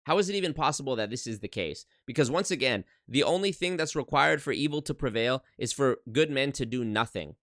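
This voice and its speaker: A man's voice: mid-range voice, a bit bright. A man in his twenties, a YouTuber talking casually on a livestream from his apartment into a desk microphone, emphatic and swearing, often restarting his sentences. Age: 20s. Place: one-bedroom apartment.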